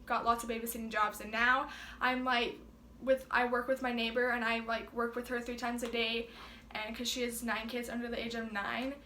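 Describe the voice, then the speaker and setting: A woman's voice: on the high side, little pitch movement, moderate pace, bright, forceful tone, slight background noise. A teenage girl chatting casually as co-host of a video, full of filler "likes". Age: teens. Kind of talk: casual chat. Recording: echoey room.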